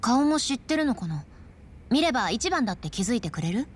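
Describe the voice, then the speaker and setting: A woman's voice: fairly high pitch, big pitch swings, a little fast, slightly rough. An actress playing a confident young woman in a video game, playful, teasing and self-assured as she talks. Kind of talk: Japanese video game dub acting.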